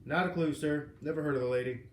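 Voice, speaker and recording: A man's voice: on the high side, quick pace, close to an everyday voice. Man playing a weary patrolman, echoey sound. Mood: weary, polite. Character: weary, polite, slightly clueless male patrol officer. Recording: roomy, echoing, noisy home recording.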